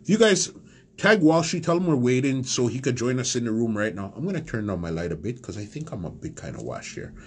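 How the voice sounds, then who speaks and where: A man in his forties hosting a casual live stream from a small room at home, hyping his viewers with shout-outs. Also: mid-range voice, a little fast, slightly rough. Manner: animated, hypes the audience with shout-outs. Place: small room at home, blue curtain behind. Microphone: phone or webcam at close range.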